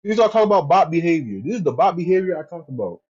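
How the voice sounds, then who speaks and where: A man's voice: on the high side, sing-song, rapid-fire, a little mellow, husky. Rambling profane male streamer, lo-fi. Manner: rambling, profane, repeats himself. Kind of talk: casual livestream chat.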